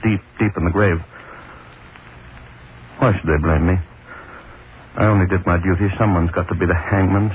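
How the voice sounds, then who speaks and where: An actor playing a haunted, desperate middle-aged executioner in a 1930s radio play, brooding and tormented, heard through a tinny old recording. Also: male voice, deep voice, slight background noise, natural voice. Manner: tormented, brooding inner monologue. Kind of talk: 1930s radio drama acting.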